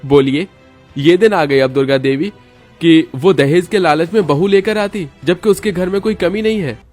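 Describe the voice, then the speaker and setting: A man's voice: on the high side, moderate pace, darkish tone, soft background music, lightly acted. A man voicing a stern, protective young husband in a cartoon story, firm and reproachful. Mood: indignant. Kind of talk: cartoon moral story acting.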